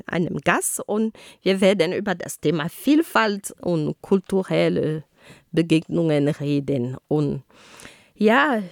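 A woman's voice: mid-range voice, creaky, no room sound. A woman co-hosting a radio talk show, her voice sitting in a middle register. She explains her points earnestly with everyday examples. The sound is dry and close, with no room around it. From Cameroon. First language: Homala.

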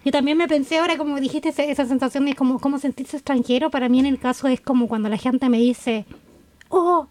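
A woman with a Chilean Spanish accent and a high voice hosts a relaxed chat. She asks curious questions and keeps the talk going with quick affirming sounds. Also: dry recording. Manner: curious, asks follow-up questions, many backchannels. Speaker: host. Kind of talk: casual podcast conversation.

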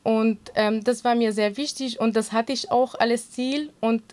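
A woman with a Kurdish accent, Kurdish her first language, answering interview questions in an earnest, halting way with many fillers. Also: fairly high pitch, steady tone, darkish tone. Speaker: interview guest, swimming instructor.

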